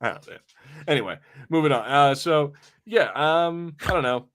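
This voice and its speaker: A man's voice: a little high, moderate pace, a bit bright. A man co-hosting a podcast with casual, conversational banter about pop culture. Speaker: podcast co-host.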